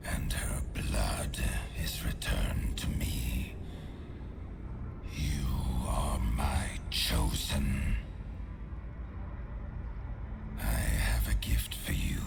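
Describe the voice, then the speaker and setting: An actor voicing an ancient, menacing god of murder in a video game, with a hissing rasp and an imperious, portentous delivery. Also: male voice, a bass voice, exaggerated voice. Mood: cold, commanding. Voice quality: gravelly, hissing whispered rasp. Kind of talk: dramatic video game cutscene acting.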